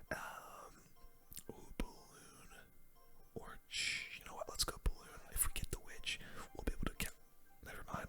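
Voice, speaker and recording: A person giving casual, slangy ASMR gaming commentary in a soft, unvoiced whisper. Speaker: gaming video host.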